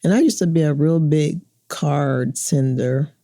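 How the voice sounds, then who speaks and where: A woman's voice: deep voice, a little slow, dark tone, dry recording, studio quality. A woman in her sixties talking as an interview guest in a casual, reflective chat. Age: 60s.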